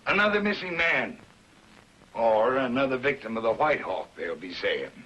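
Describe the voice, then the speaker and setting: A crusty old Yukon storekeeper from a 1950s TV western. His voice is sharp and swings widely in pitch as he exclaims in folksy frontier slang. There is slight noise on the vintage soundtrack. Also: very animated, normal speed, highs cut off. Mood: gossipy, dramatic. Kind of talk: 1950s television western acting.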